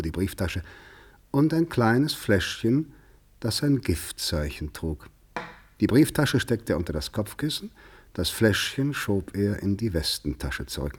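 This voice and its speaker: An actor narrating a literary mystery tale in a 1950s radio play, measured and deliberate. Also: male voice, sits low, a little hiss or hum. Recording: 1959 radio studio recording.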